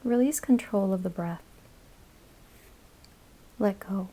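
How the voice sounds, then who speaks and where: Gravelly, mellow woman guiding meditation. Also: mid-range voice. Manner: calm, soothing, instructional, with measured phrases and pauses. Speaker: yoga nidra meditation guide. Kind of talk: guided meditation narration.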